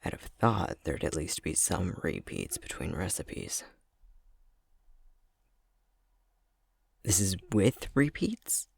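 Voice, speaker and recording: Male actor as clumsy young fox-shifter boyfriend, husky, close and dry, hesitant, self-deprecating, intimate ASMR roleplay. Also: deep voice, very animated, unhurried, no room sound, heavily acted. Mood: baffled, overwhelmed.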